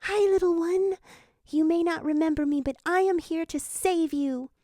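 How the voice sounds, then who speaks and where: A woman playing a gentle, caring female ghost in a story, with a reassuring, soft-hearted manner. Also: extremely high pitch, fairly even intonation, moderate pace, no echo, studio quality.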